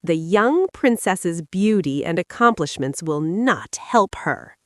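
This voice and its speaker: Storybook villain: ancient, spiteful fairy played by a woman, low-pitched, menacing and deliberate, recorded dry. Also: expressive, no room sound. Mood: cold spite.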